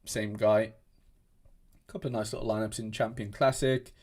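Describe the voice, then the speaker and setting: A man's voice: lowish voice, fairly even intonation, normal speed, a little mellow. A young man from Wales, chatting casually on a livestream at his desk, rambling with frequent restarts while answering viewer comments. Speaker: streamer. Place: indoor home room at a desk.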